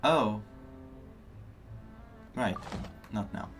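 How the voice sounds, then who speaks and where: Male game streamer, unhurried, mid-pitched, thinking aloud casually, faint music behind. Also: animated. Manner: musing, reacting aloud. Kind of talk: casual live game commentary. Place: indoors, live stream with game audio.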